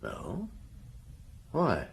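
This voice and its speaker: An actor playing a patient police detective in a radio drama, putting probing questions with measured courtesy. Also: male voice. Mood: businesslike.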